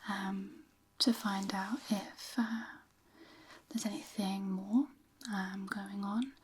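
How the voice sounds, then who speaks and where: A woman speaks in a hushed, breathy whisper at an average pitch, in the role of a caring physiotherapist in an ASMR exam. She is gentle and a little hesitant, softly explaining each step. Clear background noise and small clicks sit behind her voice. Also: fairly even intonation, takes it slowly. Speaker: ASMR performer playing a physiotherapist. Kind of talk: soft-spoken ASMR medical roleplay.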